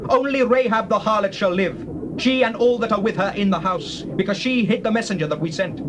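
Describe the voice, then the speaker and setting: An actor playing a commanding, fervent Israelite war leader, declaiming in solemn scriptural language for an audio Bible drama. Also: male voice, high-pitched, a little fast, lightly acted. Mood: commanding, exultant. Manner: declamatory, archaic solemn oratory. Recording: noisy old cassette tape.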